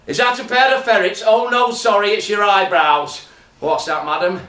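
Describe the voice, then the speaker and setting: Brash pantomime dame, high and bright, cheeky and flirty, heard from across a roomy space. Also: male voice, animated, moderate pace. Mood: boastful teasing. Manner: flirty, cheeky, teasing the audience. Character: bawdy brash pantomime dame, ugly sister. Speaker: stage performer. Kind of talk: pantomime stage comedy. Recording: roomy and distant.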